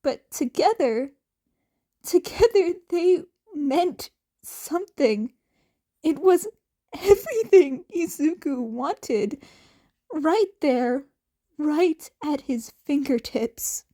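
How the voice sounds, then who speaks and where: A woman with a fairly high voice narrates a story. Her pace is very slow, and she reads the scripted fiction expressively, like an amateur audiobook. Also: lively intonation, mellow.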